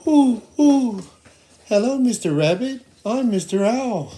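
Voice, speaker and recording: A woman's voice: a little high, animated, slow, dark tone, voice pushed up. A woman voicing a friendly, helpful male owl in a children's puppet show, hooting between short phrases. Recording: clearly noisy home recording.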